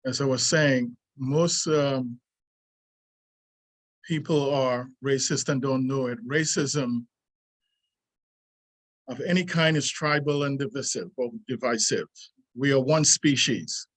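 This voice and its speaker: A man, reading a prepared essay aloud in an earnest, deliberate way, pausing and going back over lines. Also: mid-range voice, a bit bright. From Trinidad. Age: 60s. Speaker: martial arts teacher.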